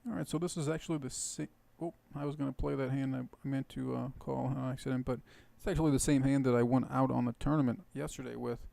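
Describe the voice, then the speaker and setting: A man with a tired, hoarse voice and some gravel in it talks through his poker hands. He explains his strategy casually, thinking aloud with plenty of fillers. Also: medium pitch, steady tone, fast talker, few pauses, dark tone, dry recording. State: hoarse, rough voice. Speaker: poker video host. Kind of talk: casual poker commentary over gameplay.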